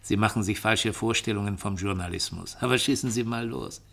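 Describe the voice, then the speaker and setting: A sceptical journalist in a radio drama. His voice is gravelly, sharp-edged and on the low side, and he answers with cool, sardonic evasiveness. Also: a little fast. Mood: sardonic, wary. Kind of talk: German radio play dialogue.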